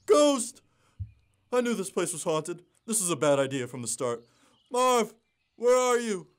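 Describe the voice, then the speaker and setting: A man's voice: high-pitched, sing-song. A man playing a dim, good-hearted, timid crook's sidekick in an audio drama, with a hesitant, folksy manner. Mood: frightened. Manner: slow-witted, hesitant, folksy. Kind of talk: audio theater acting.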